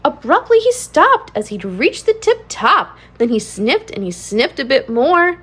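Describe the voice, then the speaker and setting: A woman with a high voice reads a picture book to young children. Her delivery swings widely up and down, with a singsong rhyming cadence and plenty of expressive emphasis on key words. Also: a little slow. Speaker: children's read-aloud channel host. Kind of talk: animated storybook read-aloud for young children.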